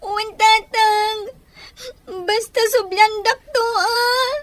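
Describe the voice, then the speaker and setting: Woman as very high-pitched, sad little Ilocano girl. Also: fairly even intonation, slow pace, a loud crowd. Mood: sad, pleading. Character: small, sad, obedient girl clinging to her father. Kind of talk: Ilocano radio drama acting.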